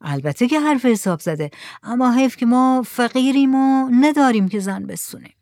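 A woman's voice: on the high side, expressive, a little mellow, dry recording, studio quality, voice pushed up. A woman reading the lines of a poor, resigned village drummer.

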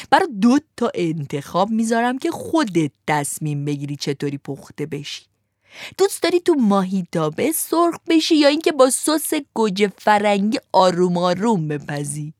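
A woman's voice: fairly low pitch, sing-song, darkish tone, dry recording, clean studio sound. A woman doing a greedy, gloating ogre-like fisherman. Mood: mocking. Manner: slow, relishing, mocking. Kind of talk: storybook reading.

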